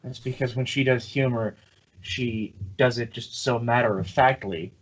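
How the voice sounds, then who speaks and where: A man's voice: mid-range voice, normal speed, muffled recording. A man co-hosting a casual review chat about audio drama.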